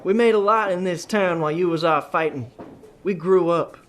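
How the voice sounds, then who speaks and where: Cocky young male champion acted by a man, slightly high, dismissive and folksy, period radio drama. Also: normal speed, slight background noise, natural voice. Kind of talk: radio play acting.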